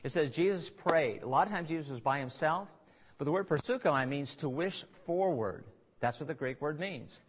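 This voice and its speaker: A man preaching a conversational sermon, pausing for asides and restarting phrases as he works through scripture. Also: a little high, animated, husky. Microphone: recorded on tape in a church.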